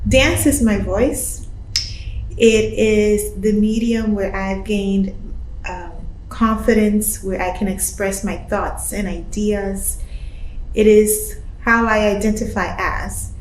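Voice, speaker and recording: A woman's voice: mid-range voice, fairly even intonation, slow, a little mellow, slight background noise. A woman from Puerto Rico, a choreographer, speaking earnestly and reflectively in an interview. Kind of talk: casual interview talk. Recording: roomy sound with faint ambience.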